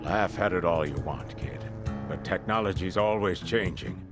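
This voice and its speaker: An actor playing a gruff old male war veteran who talks bluntly and grumbles. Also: deep voice, normal speed, music in the background, lightly acted.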